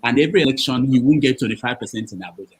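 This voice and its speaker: A man's voice: medium pitch, animated, very fast, husky, rough recording. A man hosts a live panel discussion, cutting in to manage the speakers and laying out long hypothetical questions. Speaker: host.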